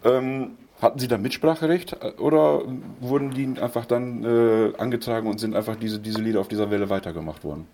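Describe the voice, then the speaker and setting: A man's voice: mid-range voice, brisk, hardly any pauses, warm tone, a little hiss or hum. A middle-aged man hosting a radio interview, asking questions formally and politely, with hesitant fillers. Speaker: radio host.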